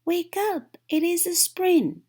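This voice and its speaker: A woman's voice: very high voice, animated, slow pace, warm tone, pitched above the performer's own voice, heavily acted. A woman voicing an eager, cheerful male frog who exclaims and urges in a children's storybook. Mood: excited, calling. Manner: exclaiming, urging, enthusiastic. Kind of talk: children's storybook read-aloud.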